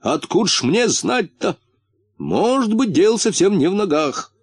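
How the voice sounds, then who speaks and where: A man's voice: high-pitched, very animated, a little slow, breathy edge, pitched above the performer's own voice, heavily acted. A man voicing a matter-of-fact storybook companion who clumsily consoles and reasons in a blunt, well-meaning way. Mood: consoling.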